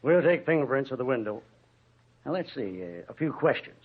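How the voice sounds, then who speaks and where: A man playing a methodical police investigator, matter-of-fact and deliberate, in a 1940s radio drama on a tinny old recording. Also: medium pitch, sing-song, breathy edge. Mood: businesslike. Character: businesslike, methodical police investigator questioning witnesses.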